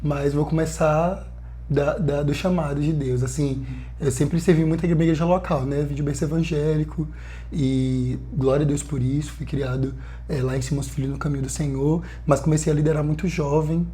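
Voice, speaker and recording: Earnest young missionary man, mellow voice. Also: on the high side, moderate pace. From Bahia, Brazil. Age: 20s. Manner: earnest, lively storytelling with frequent fillers. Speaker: missionary guest. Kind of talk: casual podcast conversation.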